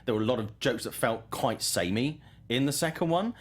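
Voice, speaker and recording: A man's voice: mid-range voice, expressive, normal speed. A man hosting a talk show, giving conversational film commentary and thinking aloud as he goes.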